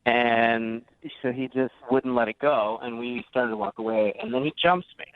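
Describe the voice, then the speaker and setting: A man's voice: medium pitch, moderate pace. A man calling a radio show over the phone, telling his story in a casual conversational way. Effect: phone line. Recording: dry, narrow phone line.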